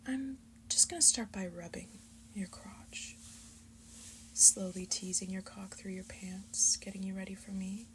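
Woman voicing a slow, whispering, seductive mature succubus. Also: medium pitch, fairly even intonation, a bit bright, a little hiss or hum, close to an everyday voice, low-quality recording. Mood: coaxing, seductive. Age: adult. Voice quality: breathy, mostly whispered. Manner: slow, coaxing, soothing endearments. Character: seductive, doting mature succubus mother figure. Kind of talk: breathy whispered roleplay audio, ASMR.

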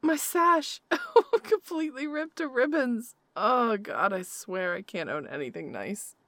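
A woman voicing a tender, possessive noblewoman lover in an intimate audio roleplay, talking in soft, slow whispers with quiet laughs. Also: high voice, sing-song, no echo.

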